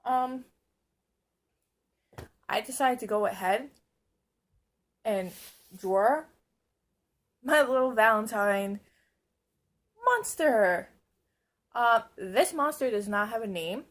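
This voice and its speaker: A woman talking to her camera in a hoarse voice that sits a little high. She rambles along casually and pokes fun at herself. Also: lively intonation, slow pace, pauses often, fairly bright tone. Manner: chatty, rambling, self-mocking. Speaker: vlogger. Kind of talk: casual vlog chat. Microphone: camera on a stand.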